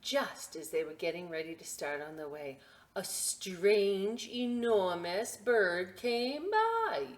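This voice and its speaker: A woman reading stories aloud to young children in a playful, expressive way, with chatty asides and questions she often answers herself. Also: mid-range voice, takes it slowly. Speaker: children's story time reader at a library.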